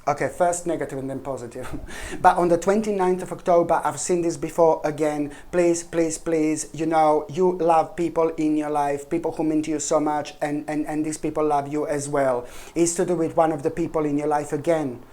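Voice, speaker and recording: Emphatic tarot reader, woman, lowish voice. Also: darkish tone. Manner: emphatic, repeats herself, addresses viewer directly. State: voice strained, clearing throat. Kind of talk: monthly tarot reading.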